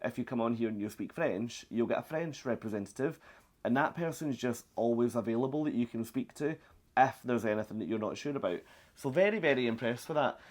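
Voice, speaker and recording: A man's voice: medium pitch, fairly quick, a little mellow. Chatty British man vlogging from a cruise. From Britain. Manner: chatty, upbeat, rambling with fillers. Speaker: travel vlogger. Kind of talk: casual vlog to camera. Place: cruise ship cabin balcony.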